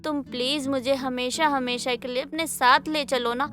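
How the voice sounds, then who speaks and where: A woman's voice: high voice, steady tone, normal speed, pushed and bright, soft background music. A woman playing an emotive, affectionate young wife in a story drama. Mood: pleading. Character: lonely, pleading young wife, affectionate but easily irritated. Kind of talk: Hindi moral-story audio drama acting.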